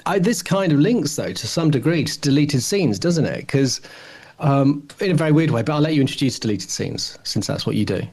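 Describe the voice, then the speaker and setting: A man's voice: fairly high pitch, expressive, fairly quick, a little mellow, husky. A middle-aged man talks as a podcast co-host in loose, casual conversation. He thinks aloud, hedging and circling around his points in a rambling, reflective manner. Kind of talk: casual chat.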